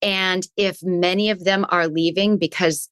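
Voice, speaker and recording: A woman with a lowish voice talking through her ideas as an interview guest. She explains with care and circles back over her phrases as she goes. The recording is dry and close. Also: steady tone, measured pace, a bit bright, dry recording. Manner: thoughtful, restarts phrases while explaining. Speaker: resilience coach, guest. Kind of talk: conversational interview answers.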